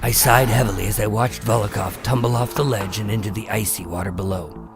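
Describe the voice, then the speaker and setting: A man playing a weary, calculating undercover agent, terse and measured, in a noir crime radio drama. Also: fairly low pitch, steady tone, vocal fry. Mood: brooding narration. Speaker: lead actor and narrator. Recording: music under the voice.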